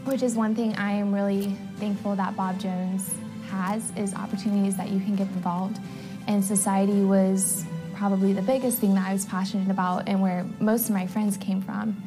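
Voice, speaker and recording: A woman's voice: medium pitch, level tone, normal speed, low-quality recording. A young woman, a college student, giving a casual testimonial. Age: young adult. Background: music.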